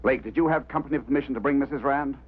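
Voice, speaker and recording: A man's voice: medium pitch, racing, slightly rough, lightly acted. An actor playing a stern, embittered man in charge of a search, firm and authoritative, in a mid-century film drama. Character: stern, embittered male boss of a search operation. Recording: muffled, band-limited old film soundtrack, faint hum.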